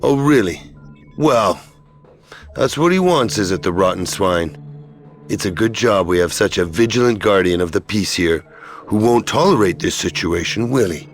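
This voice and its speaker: A defiant adventurer in a video game cutscene. His voice is on the low side, and he speaks with wry, mocking sarcasm over clearly audible music. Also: expressive, normal speed. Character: defiant, sardonic male adventurer, quick with mockery.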